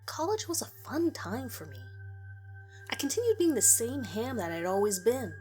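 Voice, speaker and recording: A woman's voice: on the high side, big pitch swings, normal speed, darkish tone. A woman reading a scripted first-person story aloud as its narrator.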